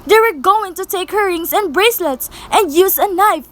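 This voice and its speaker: An extremely high female voice of a young student actress plays a nervous invalid wife in a radio play. She is fretful and demanding, pressing her point with impatient insistence. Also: normal speed. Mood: anxious, insistent. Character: anxious, demanding invalid wife, easily exasperated. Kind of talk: amateur radio-play acting.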